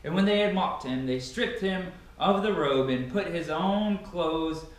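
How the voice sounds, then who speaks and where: A man's voice: a little high, unhurried. A young adult man giving a dramatic Bible reading in a declamatory, emphatic way, recorded by a camera a few meters away in a large living room. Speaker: reader of scripture. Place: large, sparsely furnished living room indoors. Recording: very echoey room, camera at a distance.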